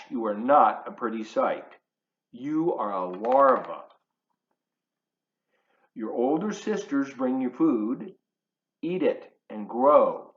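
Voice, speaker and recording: Male children's storyteller, playful and animated in intonation, addressing kids directly. Roomy, slightly dull home-video sound. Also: mid-range voice. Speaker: volunteer children's book reader. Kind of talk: read-aloud of a picture book for young children, with asides. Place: living room at home.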